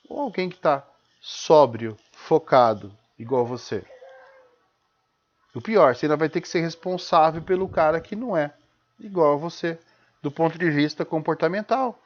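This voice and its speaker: A man with a Brazilian accent giving an informal spoken lecture in an emphatic, didactic way, punctuated with rhetorical questions and short check-in tags. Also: fairly high pitch. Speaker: host of a live-streamed talk. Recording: dull, lo-fi live stream audio.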